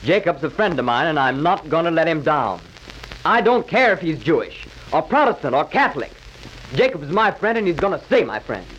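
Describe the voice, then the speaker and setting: A muffled 1940s radio recording with faint crackle, featuring an earnest American schoolboy. He is fairly high-voiced and lively, plain-spoken and loyal. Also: brisk. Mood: firm, loyal.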